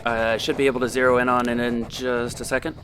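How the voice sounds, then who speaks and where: A man's voice: mid-range voice, flat delivery, fast, a bit bright, slight background noise, natural voice. An actor playing an easygoing male technician in an audio drama, talking casually and a little hesitantly. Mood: focused, puzzled.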